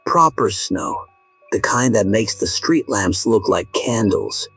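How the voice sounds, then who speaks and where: A man playing an anxious, tender grown son on a call to his father, hesitant and gentle, in an audio drama. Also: on the low side, animated, takes it slowly, husky, no echo, faint music behind, exaggerated voice.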